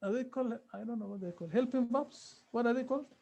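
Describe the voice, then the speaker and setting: A high-pitched man teaches a class. He repeats each phrase slowly and keeps asking his students questions. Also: vocal fry, a little mellow. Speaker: Arabic teacher.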